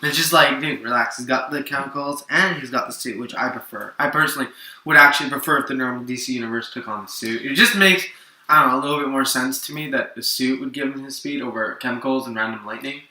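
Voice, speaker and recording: A man's voice: medium pitch, fast, pushed and bright. A man chatting casually and eagerly as co-host of a comics show, in informal, opinionated fan talk. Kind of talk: casual unscripted chat. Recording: roomy echo, distant from the mic.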